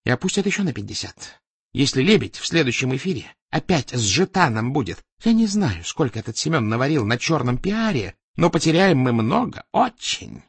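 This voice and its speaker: A man's voice: mid-range voice, sing-song, brisk, breathy edge, no echo. A man reading an audiobook as a furious, domineering media boss who barks out menacing questions. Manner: barking, interrogating, menacing.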